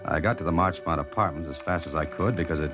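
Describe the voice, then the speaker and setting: A man's voice: low-pitched, fast talker, over music, natural voice. An American man playing a tough, wry adventurer-sleuth in a 1940s radio suspense drama, with a clipped, insistent delivery. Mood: tense narration. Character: tough, wry male adventurer-sleuth, narrating and pressing for answers. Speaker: lead actor and narrator. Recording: noisy, muffled vintage 1940s radio recording.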